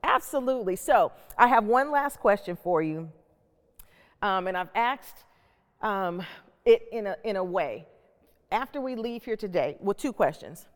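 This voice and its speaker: A middle-aged woman moderating a reflective discussion, speaking deliberately and emphatically with repeated phrases. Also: mid-range voice, expressive. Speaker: host and panel moderator. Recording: echoey hall with long reverb.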